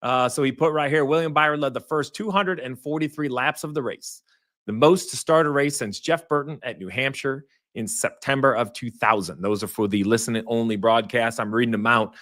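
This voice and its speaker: Hoarse male host of a live racing show, upbeat and chatty, middle pitch. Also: brisk, fairly bright tone. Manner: upbeat, conversational, reads fan chat aloud. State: hoarse, says he lost his voice. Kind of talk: live post-race show hosting.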